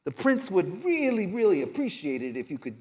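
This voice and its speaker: A man's voice: high voice, very animated, a little mellow. A man playing an evasive, hedging go-between who runs errands for a vampire prince in a live roleplaying game. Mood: cagey. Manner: hedging, sly, halting with many trailing qualifiers. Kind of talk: improvised tabletop roleplay before a live audience.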